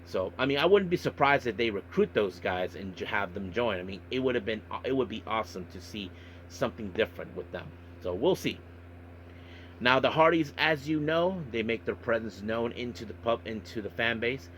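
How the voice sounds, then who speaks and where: A man hosting a podcast monologue, recapping and commenting on events in a halting way with repeated filler phrases. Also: mid-range voice, expressive, fairly bright tone, a little hiss or hum, low-quality recording. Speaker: wrestling podcast host.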